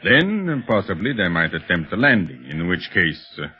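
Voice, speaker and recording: An actor playing a scheming, imperious criminal gang master in a 1930s radio serial, speaking with deliberate menace. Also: male voice, deep voice, big pitch swings, slightly rough, exaggerated voice. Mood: calculating. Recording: muffled, dull 1930s radio recording.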